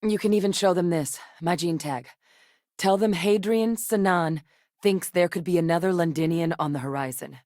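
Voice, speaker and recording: An actress as a dedicated woman scientist from a science fiction video game. Her voice sits low and is recorded dry and close. She speaks with measured, articulate care and a grave, earnest temper. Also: darkish tone, no echo, natural voice. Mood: serious, matter-of-fact. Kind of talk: video game character dialogue.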